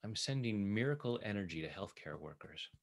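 A man working as a tapping coach, leading guided affirmations in short, measured phrases meant to be repeated. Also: lowish voice, expressive.

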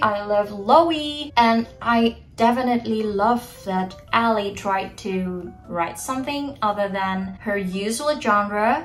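A woman's voice: medium pitch, fairly bright tone, rough recording. A young woman in her twenties whose first language is Indonesian, chatting to viewers in an opinionated book review vlog from her bedroom. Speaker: book vlogger. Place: bedroom in front of a bookshelf, indoors.

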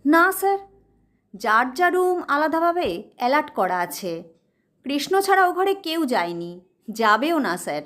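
A woman's voice: sits high, big pitch swings, unhurried, long pauses, a bit bright, full character voice. A woman voicing a polite but firm male hotel manager in an audio story, speaking formally. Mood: surprised, firm.

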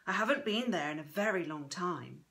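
An elderly Irish grandmother voiced by a female narrator speaks in a storybook reading. She is gentle, wistful and guarded about the past, with an Irish accent and a bright timbre. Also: lowish voice, animated, moderate pace. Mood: teasing.

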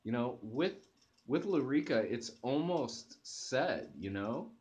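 Young male host, unhurried casual product review, mid-range voice, lots of restarts, filmed on a webcam from a sofa. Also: mid-range voice, expressive, a little mellow. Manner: enthusiastic, hesitant restarts and fillers. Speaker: channel host reviewing coffee. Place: living room, on a sofa indoors. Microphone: webcam at close range.

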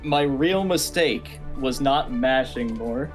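A man's voice: mid-range voice, slow pace, faint music behind. A man with a nasal voice chatting casually as a tournament player. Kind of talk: casual chat.

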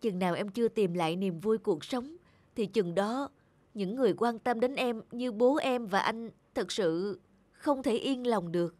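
A woman voicing a gentle, guilt-ridden young man in an animated cartoon, speaking softly and earnestly. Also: mid-range voice, unhurried, no room sound, lightly acted. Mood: pleading.

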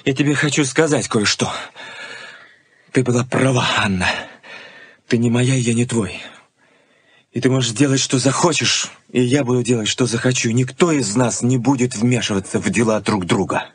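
An actor playing an earnest, stubborn young fiancé, speaking in intense short phrases in a radio play. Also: male voice, mid-range voice, moderate pace, slightly rough, no room sound, natural voice. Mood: solemn. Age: young adult. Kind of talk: Soviet radio play acting. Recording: noisy 1980s radio play recording.

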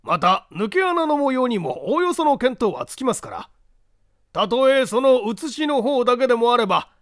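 A man's voice: very high-pitched, big pitch swings, normal speed, a bit bright, dry recording, studio quality, pitched above the performer's own voice, full character voice. A man voicing a courteous, anxious lord of a castle in a mystery audiobook, speaking formally and theatrically. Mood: earnest, explanatory. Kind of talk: dramatized Japanese mystery audiobook reading.

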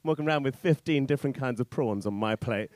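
A man in his thirties doing informal stand-up into a handheld mic, rambling, halting and sweary. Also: medium pitch, no room sound. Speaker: stand-up comedian. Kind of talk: stand-up comedy set, informal crowd banter. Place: on stage in a small indoor comedy club. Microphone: handheld stage microphone.

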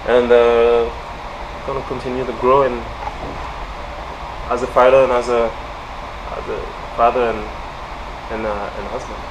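A young man with a French accent, a fighter being interviewed in a large indoor gym, speaking haltingly with many fillers. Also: medium pitch, level tone, background noise. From France. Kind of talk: casual interview.

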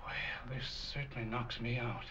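Actor as a crisp, bright older gentleman, old film. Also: mid-range voice, flat delivery, moderate pace, close to an everyday voice. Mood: shaken. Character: genial, well-mannered older businessman. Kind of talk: 1940s film acting. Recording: muffled, hissy 1940s film soundtrack.

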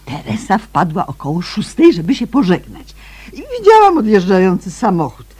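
A woman's voice: fairly low pitch, wildly swooping pitch, normal speed, dark tone, husky, exaggerated voice. An actress playing a chatty, flustered female neighbour who repeats herself in a radio play. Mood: flustered, welcoming. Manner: hesitant, repeats words, gossipy.

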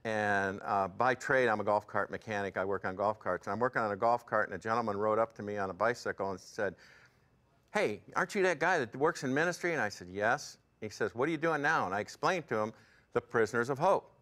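A man's voice: on the low side, brisk, bright, forceful tone. Plain-spoken man in his sixties. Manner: plain-spoken storyteller, fervent. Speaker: ministry board member, guest. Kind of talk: interview testimony. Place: television studio set, indoors.

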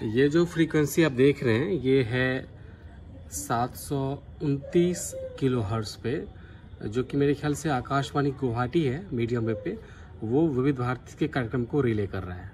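A man's voice: medium pitch, normal speed, slight background noise. A man from Bihar, India, talking casually and earnestly as a radio hobbyist making a vlog. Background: music from a radio.

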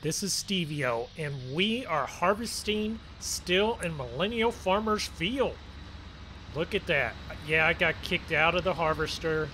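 Vehicle noise runs clearly behind a man with a somewhat high-pitched voice. He hosts a farming game video as casual roleplay. His delivery is chatty and folksy, as if talking viewers through the work. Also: expressive, a little slow, bright, forceful tone, rough recording. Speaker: gaming video host. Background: game vehicle engine sounds.

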